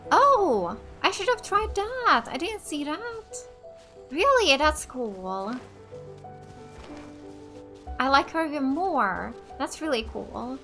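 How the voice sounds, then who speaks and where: High-voiced, unhurried woman gamer. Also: sing-song, pushed and bright, faint music behind. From Finland. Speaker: let's play gamer. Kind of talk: casual game playthrough commentary.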